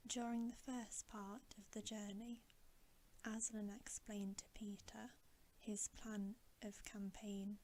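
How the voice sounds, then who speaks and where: A woman reading a bedtime story as ASMR in a breathy whisper, slow and hushed, with long gentle pauses. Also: mid-range voice, flat delivery.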